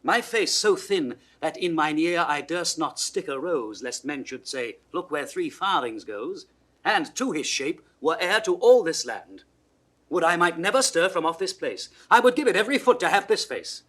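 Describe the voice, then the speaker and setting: A blunt, cheeky young British gentleman in a Shakespeare audio drama. His voice sits on the high side and swoops freely. The delivery is bantering, irreverent and theatrical. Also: big pitch swings, moderate pace. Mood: bold, jesting. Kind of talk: 1960s classical Shakespeare audio drama acting.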